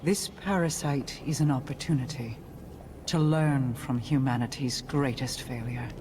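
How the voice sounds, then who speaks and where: A woman's voice: a bass voice, a little slow, background noise. A woman playing a regal, cold and resolute queen in a game cutscene, formal and commanding. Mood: imperious. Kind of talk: dramatic game cutscene acting.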